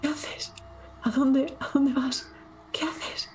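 A woman's voice: high voice, fairly even intonation, takes it slowly, slight background noise. A woman with a Castilian Spanish accent narrating her character in first person as a player in a tabletop game.

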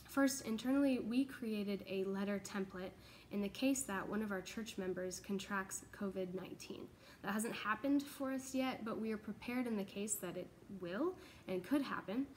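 A woman's voice: medium pitch, normal speed, hardly any pauses, a little mellow, slight background noise. A young American woman giving an earnest, explanatory, informal video update as a church pastoral associate. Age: young adult. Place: large open indoor office space with exposed ductwork.

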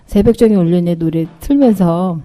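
A woman's voice: fairly low pitch, normal speed, dark tone, a little hiss or hum. A middle-aged woman, a guest in a radio interview, explaining things in an informal, conversational way. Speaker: volunteer group member, interview guest.

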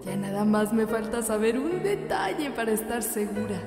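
A woman's voice: on the high side, very animated, moderate pace. A woman playing a jolly, motherly village midwife in an audio drama. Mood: knowing, unhurried. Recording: echoey, long reverb, faint music.

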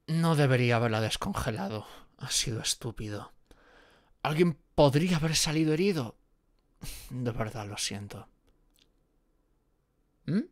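A performer plays a friendly gorgon with snakes for hair, anxious and quick to apologize, in an audio roleplay. The accent is from Spain. The delivery is hesitant and rambling, a bit slow, with very lively pitch, recorded dry and close. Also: dry recording. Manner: hesitant, rambling, muttering to self. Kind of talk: roleplay audio drama, one-sided dialogue.

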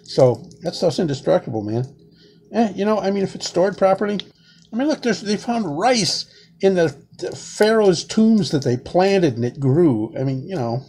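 A man's voice: high voice, expressive, normal speed, vocal fry, darkish tone. A man in his sixties chatting casually in his home kitchen as he peels shrimp, rambling and saying "man" a lot. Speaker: home cooking vlogger. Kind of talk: casual cooking demonstration. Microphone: camera close on a table.

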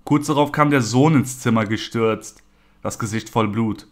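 A man reads a scripted horror story as a narrator. His voice sits at a medium pitch, and faint music plays behind him. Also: normal speed.

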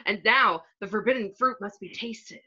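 A woman's voice: medium pitch, normal speed, bright, forceful tone, husky. A woman playing a pompous, fussy fashion mogul villain in an amateur comedy script read over video call. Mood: grandiose, fussy. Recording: slightly dull video-call audio.

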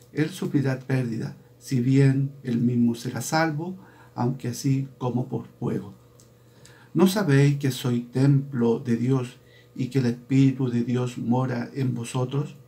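A man in his fifties, a preacher's assistant, giving a slow sermon with a Bible reading, often clearing his throat, recorded on a webcam in a small room at home. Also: medium pitch, warm tone. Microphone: webcam at desk, video call framing.

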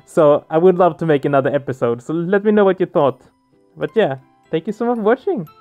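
Higher-pitched man hosting a let's play. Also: fairly quick, lo-fi sound, soft background music. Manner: expressive, reactive. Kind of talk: reading game story aloud with reactions.

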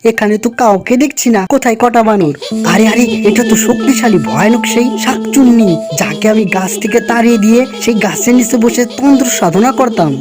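A woman's voice: fairly high pitch, a little fast, natural voice. A woman voicing a wicked, cowardly fake holy man, a tantric sorcerer in a cartoon fairy tale. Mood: puzzled, alarmed. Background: music.

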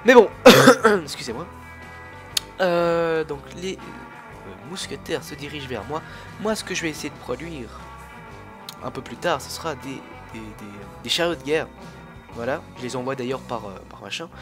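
A man's voice: medium pitch, lively intonation, normal speed, music in the background. A young man with a cold who coughs now and then, chatting casually and rambling through his commentary on a strategy game, full of fillers and restarts. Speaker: gaming YouTuber.

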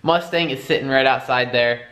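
A young man giving a relaxed, rambling vlog update, filming himself with a handheld camera at arm's length outdoors and in a garage, with plenty of fillers. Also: medium pitch, normal speed, clear, resonant tone. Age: young adult. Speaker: vlogger. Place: outdoors at a storage lot, in a truck, and in a home garage.

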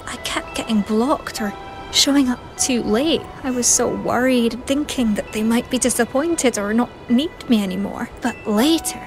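An earnest young female team leader from an anime-style game. Her voice is fairly high, gentle and sincere, set over clear background music.

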